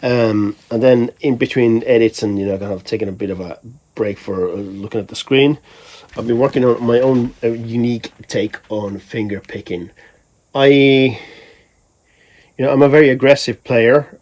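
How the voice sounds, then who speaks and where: A man's voice: mid-range voice, a little slow, darkish tone. A man from Finland, a musician, talking casually in a rambling, think-aloud way for a vlog. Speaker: musician vlogger.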